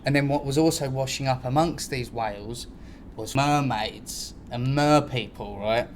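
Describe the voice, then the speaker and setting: A man's voice: medium pitch, a little slow, slight background noise. A man with a British accent hosting a casual, improvised podcast, chatting in slangy, sweary language. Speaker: podcast host.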